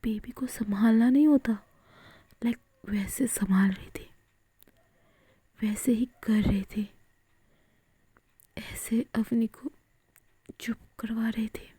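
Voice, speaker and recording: Brief: female storyteller, dark whispered voice, very dry close sound. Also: on the high side, steady tone, breathy edge, no echo. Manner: soft whisper, slow, with frequent fillers. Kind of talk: whispered Hinglish story narration.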